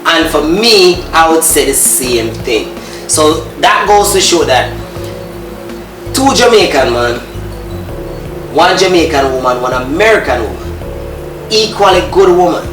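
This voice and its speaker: A male host poses long, looping questions and chuckles as he talks. His voice sits at a middle pitch and comes from back off the mic, in a roomy space with music playing. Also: animated, unhurried, pauses often. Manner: repeats himself, laughs. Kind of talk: interview questions. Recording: echoey room, distant mic, music.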